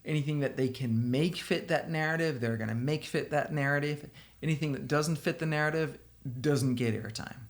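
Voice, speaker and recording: A man from Denmark with a Danish accent, a software company founder giving opinionated, casual commentary straight to the viewer. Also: on the high side, moderate pace. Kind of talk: casual commentary responding to a blog post.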